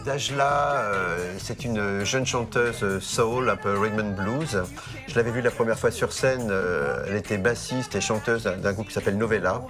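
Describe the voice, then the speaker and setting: A man giving an interview as a festival programmer, hesitant with drawn-out pauses. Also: on the low side. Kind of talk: spoken interview. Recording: music playing under the voice.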